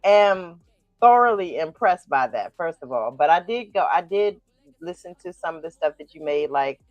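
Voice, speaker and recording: A woman's voice: on the low side, animated, moderate pace, a little mellow, no room sound, muffled recording. A woman hosting a radio interview, asking questions with emphatic enthusiasm. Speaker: radio show host.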